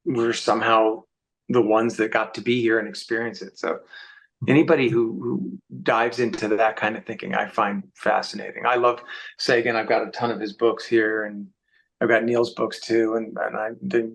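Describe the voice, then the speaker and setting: A man's voice: on the low side, flat delivery, normal speed, vocal fry, fairly bright tone, rough recording. A man speaking as an interview guest, giving reflective, conversational answers.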